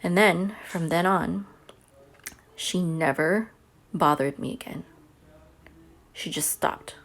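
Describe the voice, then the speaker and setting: A woman's voice: fairly low pitch, slow, creaky. A homeschooling mother telling a personal story to camera in a chatty, earnest way. She says she has a big voice.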